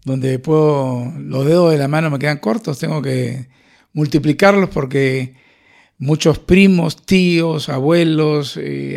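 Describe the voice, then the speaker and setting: A Peruvian man speaking as a guest musician in an interview, halting and reflective, correcting himself as he goes. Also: on the high side, vocal fry, a little mellow. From Lima, Peru. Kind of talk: conversational interview.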